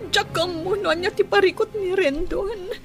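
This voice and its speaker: A woman's voice: very high voice, fairly even intonation, unhurried, soft background music. A woman with a Filipino accent playing a plaintive, distressed young wife in a radio drama. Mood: troubled. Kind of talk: Ilocano radio drama acting.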